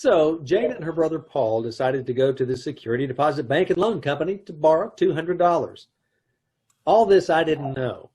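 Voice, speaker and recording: A man's voice: on the high side, a little mellow, breathy edge. A man playing a dry, wry husband who narrates the story, in old-time radio comedy style, from home on a video call.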